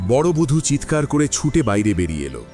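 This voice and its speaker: A man narrating a classic story in an audio drama, with expressive storytelling. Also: mid-range voice, a little fast, over loud music.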